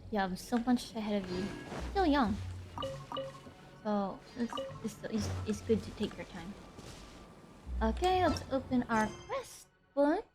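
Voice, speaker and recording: A woman casually streaming a video game, chatting and giggling as she reacts. Her voice sits a bit high. Loud game music plays behind her. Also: animated, a little slow, long pauses. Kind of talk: casual live stream commentary.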